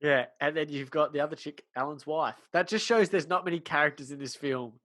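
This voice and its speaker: A man's voice: fairly high pitch, brisk, fairly bright tone. A man chatting casually as a podcast co-host, informal and blokey, with conversational asides. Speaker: podcast co-host discussing films. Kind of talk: casual chat.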